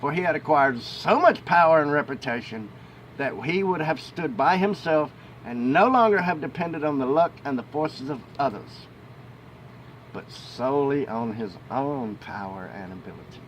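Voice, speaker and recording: A man's voice: expressive, normal speed. A man reading a historical book aloud as an amateur narrator, with emphatic phrasing and the odd stumble. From United States. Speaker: amateur audiobook reader. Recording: noisy home recording with ambient rumble.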